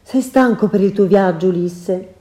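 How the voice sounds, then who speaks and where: A woman's voice: mid-range voice, lively intonation, takes it slowly, warm tone. A woman voicing a scheming sorceress in a storybook reading, sweet and mocking by turns. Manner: sugary then mocking, cackling. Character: sorceress woman, scheming, cruel then pleading. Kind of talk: storybook character voice.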